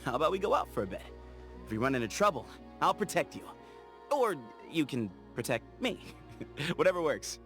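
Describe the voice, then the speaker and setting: An actor voicing an easygoing young desert scout in a game, talking in an upbeat, playful way. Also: male voice, fairly high pitch, big pitch swings, normal speed, fairly bright tone, faint music behind. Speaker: game character. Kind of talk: game voice lines.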